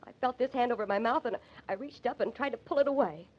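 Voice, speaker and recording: Actress as upset young gal in old radio play, husky voice, begging, breathless, muffled old recording. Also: female voice, a little high, quick pace, lightly acted. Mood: insistent. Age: young adult. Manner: pleading, breathlessly emotional. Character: distraught young woman, loyal to her boyfriend. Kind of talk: old-time radio drama acting.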